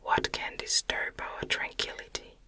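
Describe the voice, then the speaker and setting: A woman voicing a tender, anguished young gentlewoman in a gothic audiobook, pleading gently in a breathy whisper. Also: a little slow.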